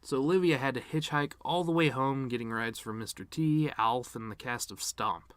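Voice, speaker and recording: A man's voice: mid-range voice, moderate pace, fairly bright tone, clean tone, no echo, lightly acted. A man performing a dramatic monologue as a bitter, grieving middle-aged cartoon horse-man, sardonic, rambling and wounded. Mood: sardonic, agitated. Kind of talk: dramatic reading of a eulogy monologue.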